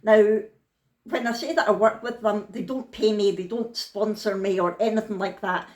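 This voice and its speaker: A woman's voice: medium pitch, steady tone, moderate pace, a little mellow, roomy sound. A woman with a thick Scottish accent, chatting frankly and down to earth in a casual vlog. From Scotland. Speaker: vlogger.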